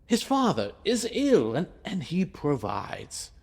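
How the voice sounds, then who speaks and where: An actor playing a troubled, kindly shipowner in a period audio drama, speaking earnestly in halting, emphatic phrases. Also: male voice, a little high, huge pitch swings, vocal fry, full character voice. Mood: earnest, pleading.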